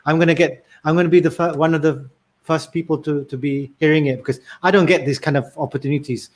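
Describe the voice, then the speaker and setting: A man's voice: fairly high pitch, steady tone, quick pace. A man hosting a casual interview who talks hesitantly and repeats words as he asks questions. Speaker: host.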